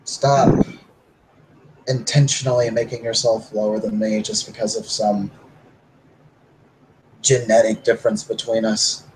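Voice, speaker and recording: A man's voice: flat delivery, a little slow, pauses often, darkish tone, slight background noise, lightly acted, low-quality recording. A man playing Emmet, a modest, unsure young werewolf, speaking hesitantly in a tabletop roleplay. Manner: modest, hesitant, low-key. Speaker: player character.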